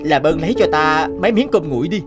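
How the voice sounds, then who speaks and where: A man's voice: on the high side, animated, fast talker, natural voice. A man voicing a flustered poor young farmer. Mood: worried. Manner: stammering, protesting, panicky. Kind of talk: animated folk tale voice acting. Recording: music bed behind the voice.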